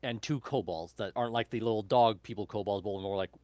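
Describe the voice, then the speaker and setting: A man in a book club casually explaining a recommendation in a halting, meandering way. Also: on the low side, a little mellow, no echo. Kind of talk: casual chat, recommending books.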